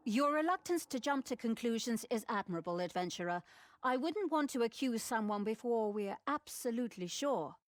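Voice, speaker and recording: A woman's voice: fairly high pitch, expressive, moderate pace, dry recording, lightly acted. A woman voicing a resolute, caring abbess in a video game, speaking with grave authority. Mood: concerned, measured. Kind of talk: scripted video game voice acting.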